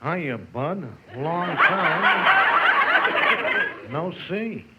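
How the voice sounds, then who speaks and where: An actor playing a shady, over-familiar racetrack tout who talks laconically in an old radio comedy. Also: male voice, on the high side, animated, drawn-out words. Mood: sly, familiar. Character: shady slow-talking male racetrack tout. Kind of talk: 1950s radio comedy acting. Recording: noisy, muffled old radio recording.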